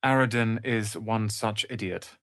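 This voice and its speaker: A man's voice: lowish voice, unhurried, a bit bright, dry recording, clean studio sound. A man playing a proud young wizard's apprentice in a fantasy video game, speaking in a pompous, articulate, theatrical manner.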